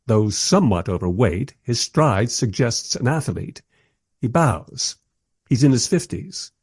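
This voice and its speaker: A man playing a wry documentary maker, narrating a radio play in the first person with expressive, husky delivery. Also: mid-range voice, measured pace, dry recording, studio quality, heavily acted. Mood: wry, enthusiastic. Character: wry, enthusiastic documentary maker narrating his historical encounters.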